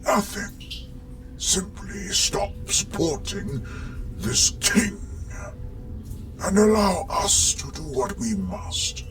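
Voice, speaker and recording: A man playing a menacing, gloating sorcerous villain in a fantasy audio roleplay, theatrical and drawn-out, sometimes sinking into whispers. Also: sits high, lively intonation, fairly bright tone, faint music behind, exaggerated voice. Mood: menacing, grandiose.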